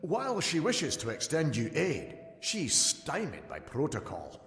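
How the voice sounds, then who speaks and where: An actor playing a discreet, conspiratorial political aide in a fantasy game, formal and insinuating. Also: male voice, on the high side, huge pitch swings, a little slow, fairly bright tone, exaggerated voice. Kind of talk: scripted fantasy game dialogue. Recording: echoey hall, music behind.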